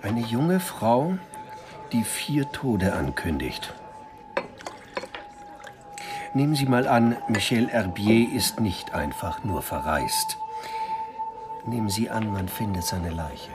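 An actor playing a calm, probing police commissaire with a measured, questioning manner in a radio crime drama. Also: male voice, lowish voice, loud music behind the voice. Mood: pondering. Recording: faint background ambience.

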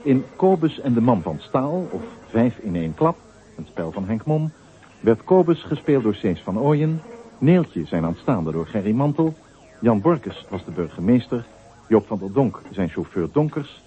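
Male announcer for radio play credits: lively intonation, tinny old broadcast sound. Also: mid-range voice, unhurried. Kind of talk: scripted closing credits. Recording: thin, phone-like old radio recording.

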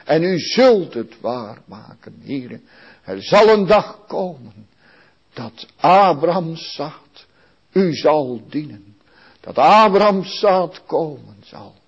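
A man leading a long public prayer in a slow, solemn and pleading way. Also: fairly high pitch, sing-song, husky, dull audio. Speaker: praying minister.

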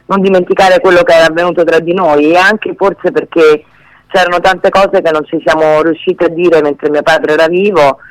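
A woman with a clear, smooth, rather low voice. She talks as a guest author in an informal radio interview, candid and conversational, in a dry studio sound. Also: steady tone, normal speed, dry recording, low-quality recording.

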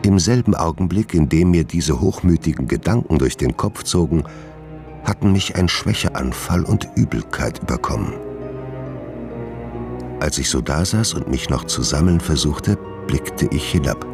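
Radio drama: haunted doctor, bottom-register gravel, secretive, brooding, literary. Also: male voice, a bass voice, lively intonation, normal speed, over music. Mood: brooding, confessional. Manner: brooding, formal literary diction. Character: tormented gentleman doctor, secretive, guilt-ridden, haunted by his dark side. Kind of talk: German radio drama acting and first-person narration.

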